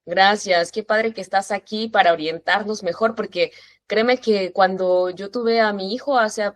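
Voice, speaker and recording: A woman's voice: mid-range voice, steady tone, unhurried, no echo. Friendly woman hosting a parenting show. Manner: warm, informal, welcoming. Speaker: host.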